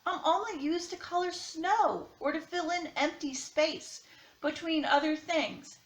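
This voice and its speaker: A woman reads as a forlorn storybook crayon that feels invisible. The plaintive voice is high and unhurried, recorded with noticeable room echo. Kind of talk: children's picture-book read-aloud. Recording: roomy, echoing sound.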